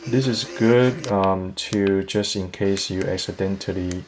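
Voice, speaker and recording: A man's voice: low-pitched, steady tone, unhurried, a little hiss or hum. A man giving a casual product review, explaining things step by step in a hesitant way.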